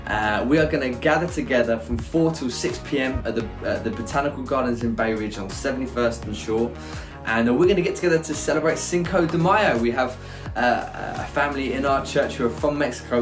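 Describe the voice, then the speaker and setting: Upbeat church leader with music behind. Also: male voice, mid-range voice, reverberant. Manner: upbeat, encouraging, many fillers. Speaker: church leader giving announcements. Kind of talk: church announcements.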